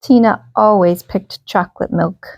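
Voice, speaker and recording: A woman reading a children's bedtime story aloud, expressively and with emphatic, drawn-out phrases. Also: mid-range voice, gravelly, no room sound.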